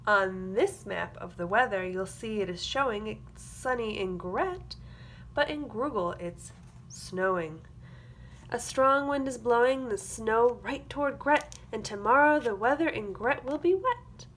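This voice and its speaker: A woman's voice: on the high side, lively intonation, a little slow, a bit bright, a little hiss or hum. A woman teacher reading a picture book aloud to young children, expressively, with simple explanatory asides. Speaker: early-grades classroom teacher.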